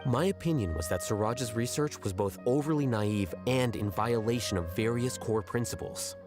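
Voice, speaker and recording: An actor playing an aloof, coolly logical scholar in a video game, speaking in a measured, matter-of-fact and condescending way. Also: male voice, medium pitch, close to an everyday voice. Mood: explaining coolly. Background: game music.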